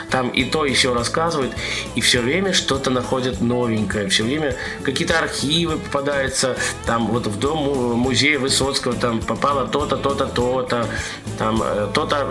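A male radio co-host with a medium-pitched voice chats casually about the news over clearly audible music. He rambles in a halting, joking way, feeling out his sentences as he goes. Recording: music playing under the talk.

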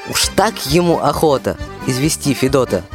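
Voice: mid-range voice, lively intonation, normal speed. A young storyteller narrating a rhymed folk tale for a radio play. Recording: accordion music behind the voice.

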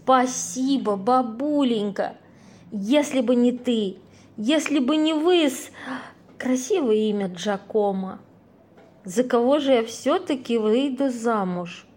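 An actress playing a wistful, wry young single woman with a gently ironic manner in an amateur audio play. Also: on the high side, takes it slowly. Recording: roomy echo, noisy birdsong ambience.

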